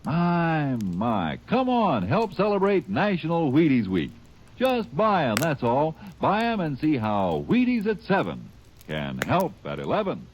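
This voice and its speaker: A man giving a cheerful vintage ad read, very animated voice. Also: fairly high pitch, a little slow, dull audio. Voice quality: chipper and salesy. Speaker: radio advertising announcer. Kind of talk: vintage radio commercial read.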